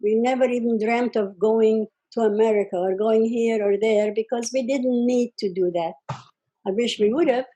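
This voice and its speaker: A woman's voice: fairly high pitch, normal speed, dark tone, poor audio. An elderly woman from Czechoslovakia, speaking plainly as an interview guest and often ending sentences with "okay?". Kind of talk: conversational testimony.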